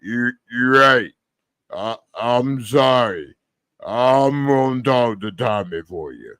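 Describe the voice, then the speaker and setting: A man putting on the deep voice of a grown man who backs down and apologizes sheepishly. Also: lively intonation, slow pace, fairly bright tone, clean tone, no room sound, clean studio sound.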